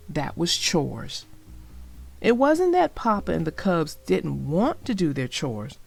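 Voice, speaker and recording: A woman's voice: fairly low pitch, gravelly. A woman narrating a children's storybook as a read-aloud for young listeners.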